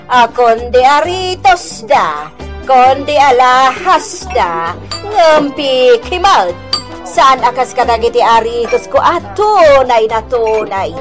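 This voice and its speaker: A woman's voice: high voice, big pitch swings, takes it slowly, faint music behind, exaggerated voice. An actress in an Ilocano radio drama playing a vain, boastful woman who talks in exclamations. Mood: boastful, pleased.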